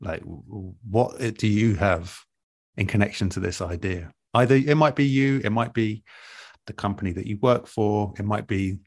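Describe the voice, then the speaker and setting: Deep-voiced man giving thoughtful advice. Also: normal speed, darkish tone, no room sound, clean studio sound. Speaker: interview guest, audio drama producer. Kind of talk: relaxed advice-giving conversation.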